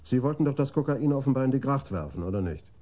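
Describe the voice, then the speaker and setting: An actor playing a sober, probing police detective in a radio crime drama, matter-of-fact and pointed. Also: male voice, medium pitch, lively intonation, quick pace, mellow, natural voice.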